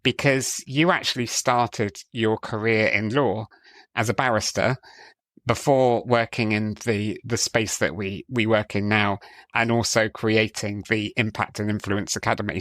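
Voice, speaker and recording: Enthusiastic guy hosting a podcast, chatty, lowish voice, really dry sound. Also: fairly bright tone, no room sound. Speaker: podcast host. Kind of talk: conversational podcast introduction and interview.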